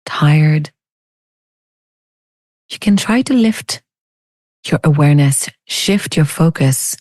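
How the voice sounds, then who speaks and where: A woman leading a guided meditation as a teacher, speaking in a soothing, unhurried, gently inviting way. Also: low-pitched, animated, no echo, clean studio sound.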